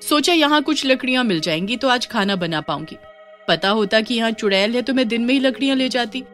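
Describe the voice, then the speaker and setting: A woman's voice: a little high, animated, a little fast, a bit bright, soft background music. A woman playing an innocent-seeming, timid village newcomer in a horror story. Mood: feigned innocence. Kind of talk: animated horror story dialogue.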